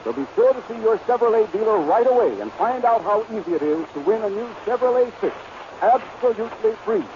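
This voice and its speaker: A muffled vintage radio recording with faint noise features a male announcer with a high voice. He reads scripted advertising copy formally and emphatically, in classic 1930s style. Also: sing-song, fairly quick, gravelly. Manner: formal, emphatic, cleanly phrased sales delivery.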